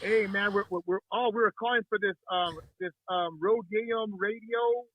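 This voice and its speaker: Brief: excitable male caller, high voice, phone line. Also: normal speed, dry recording. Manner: eager, stumbling over his words. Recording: dry tinny phone line.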